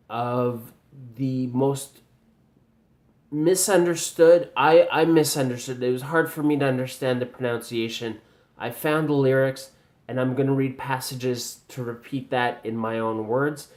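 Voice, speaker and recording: A man with a general Canadian accent speaks slowly and deliberately, like a teacher giving feedback. He pauses often and fills gaps with ums. His voice sits at an ordinary pitch for a man. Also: darkish tone. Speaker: video commentator reviewing pronunciation. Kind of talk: slow spoken video response.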